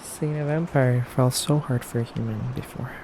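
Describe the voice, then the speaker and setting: A man playing an aloof, condescending male vampire in an ASMR roleplay, measured and halting, often dropping toward a breathy whisper. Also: mid-range voice, fairly even intonation, background noise, close to an everyday voice. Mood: aloof, stern. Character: aloof, condescending, elegant femboy vampire interrogator. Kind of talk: slow, soft ASMR roleplay monologue.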